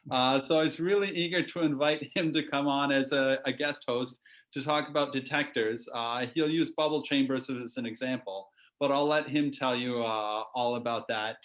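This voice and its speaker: A man's voice: fairly high pitch, a bit bright. A man hosting an online session, introducing a guest and explaining how to ask questions in the chat, with frequent hesitations. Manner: hesitant, lots of fillers. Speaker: host. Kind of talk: introducing a guest on a video call.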